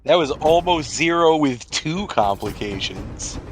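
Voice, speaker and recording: A man's voice: a little high, expressive, measured pace, a little hiss or hum, poor audio. A man acting as game master, narrating the action and rules of a tabletop game in a conversational, descriptive way. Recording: background music bed under voice. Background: music.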